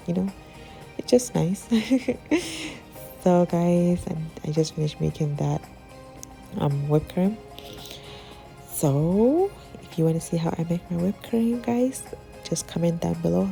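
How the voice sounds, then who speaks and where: A young woman with a slightly husky voice, chatting casually to viewers while cooking in her home kitchen. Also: sits low, mellow. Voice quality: husky, sounds slightly sick. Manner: chatty, giggly, talks to viewers as guys. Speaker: cooking channel host. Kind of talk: casual cooking vlog voice-over.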